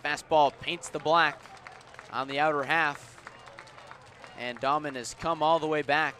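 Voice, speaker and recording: Male radio baseball commentator, forceful bright tone, live play-by-play delivery, faint ballpark noise behind. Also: fairly even intonation, a faint crowd. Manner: measured between pitches, punchy and louder on plays. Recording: outdoor ballpark ambient noise.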